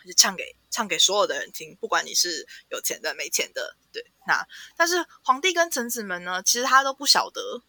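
A woman with a Taiwanese Mandarin accent hosting a podcast, casually retelling a story with animated, playful asides. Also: mid-range voice, a little fast, hardly any pauses, no echo. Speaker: podcast host. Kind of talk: casual storytelling and book chat.

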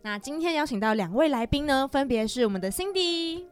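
Woman in her twenties, podcast host, highish voice, lively intonation, casual chat, faint music. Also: brisk. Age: 20s.

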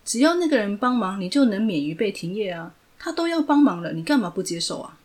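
A woman's voice: mid-range voice, very animated, fast talker, darkish tone, natural voice. A woman voicing a cool, blunt teenage girl genius who prods with questions. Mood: prodding.

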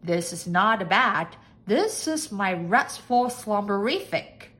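A bossy, eccentric lord from a storybook, played by a woman. The delivery is unhurried and pompous, with emphatic commands and swooping intonation. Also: mid-range voice, sing-song, pushed and bright, exaggerated voice. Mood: haughty, correcting. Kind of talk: storybook character acting.

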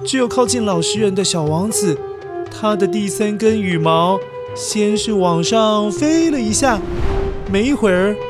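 A man narrating a children's story in an animated, scripted storytelling style. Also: high-pitched. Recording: music behind the voice. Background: music.

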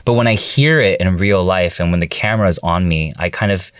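A man's voice: low voice, brisk, a bit bright, no echo, clean studio sound. A young man, an actor and singer, chatting as a guest in an interview, telling stories loosely with lots of "like" fillers. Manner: chatty, filler-heavy storytelling. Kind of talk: casual interview chat.

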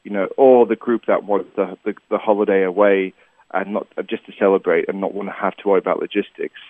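A man's voice: deep voice, fairly even intonation, brisk, no room sound. Earnest man on a tinny line. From Asia (Hong Kong, Taiwan, Malaysia). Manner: earnest, rambling with many "you know" fillers and restarts. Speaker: tour company founder, guest. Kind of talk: casual interview answers. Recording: dry tinny phone-like line.

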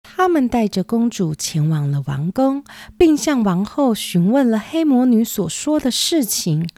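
A woman's voice: a little high, very animated, unhurried, mellow, no room sound. A woman narrating a scripted children's story as the storyteller.

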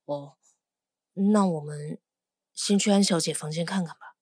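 A woman playing a calm, observant young investigator in an audio drama, speaking in a composed, understated way. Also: low voice, a little slow, dry recording, clean studio sound. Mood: cautious.

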